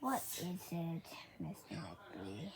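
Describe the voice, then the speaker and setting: Woman reading as a strict lady librarian, widely swooping pitch. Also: huge pitch swings, slow pace, background noise, heavily acted. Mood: sad, subdued. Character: strict female head librarian, firm but kindly.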